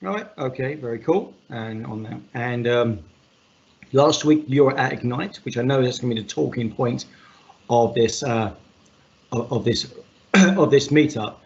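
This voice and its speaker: A man hosting an online meetup in casual talk. He has a loud, projecting voice and rambles and jokes, with lots of fillers. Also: mid-range voice, lively intonation, unhurried, dull audio. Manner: rambling, filler-heavy, joking. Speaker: meetup host.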